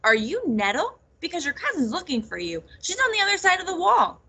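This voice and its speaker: Curious small male raccoon for a storybook, done by a woman: eager, direct, bright with some rasp. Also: high voice, wildly swooping pitch, fairly quick, voice pushed up, exaggerated voice.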